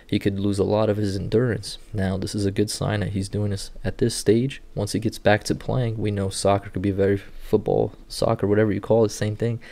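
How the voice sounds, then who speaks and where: A man in his thirties, a native Portuguese speaker, who works with rehab athletes and calmly walks viewers through training clips, recorded indoors on a webcam. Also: on the low side, brisk, vocal fry, hardly any pauses. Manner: steady, instructive walk-through of clips. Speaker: sports rehab clinician. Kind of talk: explanatory video commentary. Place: indoor room.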